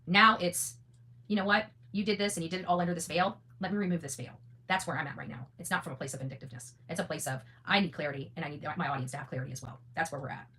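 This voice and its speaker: A woman's voice: lowish voice, steady tone, rapid-fire, pushed and bright, slight background noise. A woman hosting an unscripted, opinionated monologue, blunt and defiant, often repeating phrases for emphasis and swearing freely. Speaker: online content creator and host.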